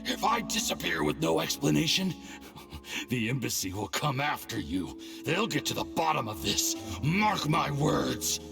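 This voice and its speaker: An actor playing an arrogant, scheming foreign envoy in a game cutscene, with a swaggering, threatening manner. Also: male voice, on the high side, sing-song, a little slow, creaky, music in the background, heavily acted. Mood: angry. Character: scheming middle-aged male Fatui envoy, arrogant.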